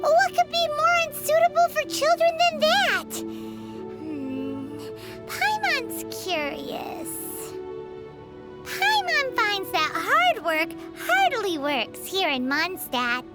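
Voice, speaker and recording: A woman's voice: very high voice, sing-song, slow pace, exaggerated voice. A woman voicing a tiny, cheeky, chatty floating girl companion in a video game, with game music behind. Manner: bubbly, cheeky, refers to herself by name. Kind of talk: video game dub dialogue.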